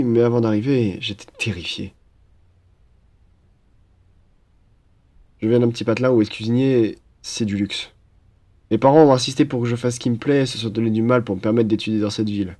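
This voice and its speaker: A man's voice: mid-range voice, warm tone, natural voice. A cheerful young student played by a man chats one-on-one with a new roommate in an audio roleplay. His voice sits in a medium register, and his manner is playful and teasing, with easy, friendly banter. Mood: earnest, open. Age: young adult. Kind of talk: ASMR audio roleplay, casual one-sided chat.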